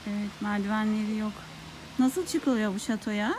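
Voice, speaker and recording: A woman's voice: mid-range voice, fairly even intonation, moderate pace. A woman chatting casually with a child outdoors in a park, explaining things and asking simple guiding questions. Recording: very noisy on-location recording with outdoor ambience.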